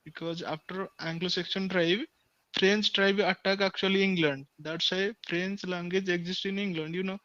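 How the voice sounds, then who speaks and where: Bright, high young Odia man. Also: flat delivery, moderate pace, no echo, lo-fi sound. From Odisha, India. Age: young adult. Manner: hesitant, fillers, earnest. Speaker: English tutor. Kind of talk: casual video-call chat. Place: room with friends nearby. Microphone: phone.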